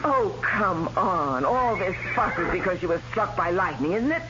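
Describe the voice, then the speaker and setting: A dull old radio broadcast with loud music carries a young American ranch wife. She is headstrong and emotive, and her pitch swings widely as she tells and plays out her story. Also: moderate pace. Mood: indignant. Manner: expressive, dramatic, emotive. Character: Katie Moore, young ranch wife, headstrong, sympathetic, easily agitated. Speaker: narrator and lead actress. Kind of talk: 1970s American radio drama acting with first-person narration.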